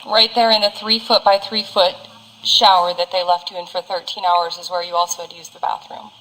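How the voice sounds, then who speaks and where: A woman's voice: fairly low pitch, little pitch movement, fairly quick, bright, forceful tone. A woman in a phone conversation, asking questions and answering in an insistent, emphatic way. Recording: phone call with faint noise.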